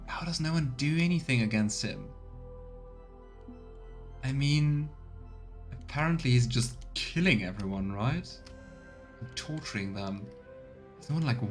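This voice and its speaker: A man doing casual let's play commentary, musing and thinking aloud, with music behind him. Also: a little high. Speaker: video game streamer.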